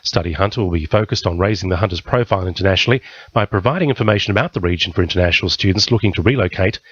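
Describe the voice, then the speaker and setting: A man's voice: low voice, rapid-fire, a bit bright, breathy edge, no room sound, lo-fi sound. An Australian man reading a scripted news bulletin on the radio. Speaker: radio newsreader.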